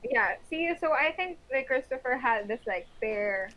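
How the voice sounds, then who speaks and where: A woman's voice: high voice, animated, unhurried, over a noisy crowd. A woman from the Philippines, a podcast guest chatting casually and laughing often. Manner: laughs often, warm and encouraging. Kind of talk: casual chat. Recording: dull lo-fi sound.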